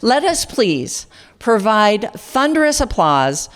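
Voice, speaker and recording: A woman's voice: on the high side, sing-song, takes it slowly. Woman emcee giving a playful mock-serious introduction. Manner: playful mock-serious introduction, piling up one fake career after another. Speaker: event emcee. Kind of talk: stage introduction. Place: event hall stage.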